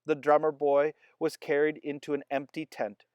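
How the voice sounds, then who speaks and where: A storyteller reading a children's chapter book aloud, with expressive narration. The recording is close and dry, with no room around the voice. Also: medium pitch, moderate pace, clean tone, dry recording. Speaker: storybook reader.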